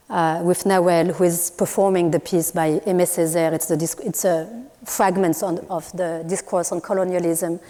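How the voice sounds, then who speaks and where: A woman's voice: fairly low pitch, warm tone. Thoughtful woman speaking in a reverberant hall. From Morocco. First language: Moroccan Arabic. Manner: thoughtful, hesitant with many fillers and restarts. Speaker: artist in a panel talk. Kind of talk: public talk at a university event. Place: lecture hall.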